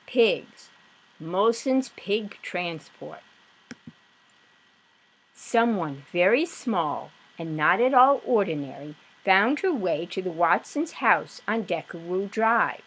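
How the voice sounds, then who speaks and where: A woman reading a children's story aloud with expressive, deliberate narration. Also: medium pitch, rough recording. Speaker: storybook reader.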